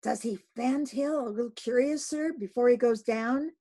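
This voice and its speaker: A woman voicing an eager male harpooneer on a whaling ship, calling out loudly. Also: high-pitched, fairly even intonation, measured pace, voice pushed up.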